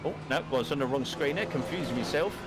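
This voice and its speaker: A Cockney man in his fifties from East London, commentating a sim race live in a chatty, excitable way, swearing casually. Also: mid-range voice, fast, loud music behind the voice. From East London, England. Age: 50s. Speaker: race broadcast commentator and streamer.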